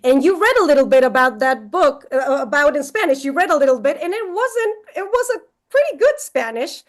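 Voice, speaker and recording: Female interviewer, high-pitched, informal and playful conversational read. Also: big pitch swings, brisk. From Chile. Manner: playful, chatty. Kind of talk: casual interview chat.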